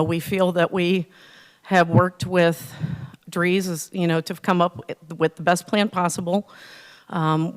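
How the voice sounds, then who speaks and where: Fifties woman, ohio, emotional halting public comment, lots of ums, lowish smooth voice. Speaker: resident speaking at public hearing. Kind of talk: public comment at council meeting. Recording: reverberant council chamber.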